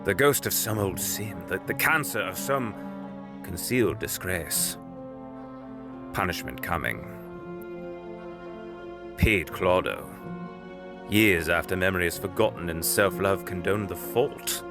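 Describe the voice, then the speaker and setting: An actor playing a grave, troubled middle-aged gentleman lawyer in an audio drama, speaking formally and haltingly as he broods. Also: deep voice, expressive, music in the background. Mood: troubled brooding. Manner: brooding, halting, formal old-fashioned speech.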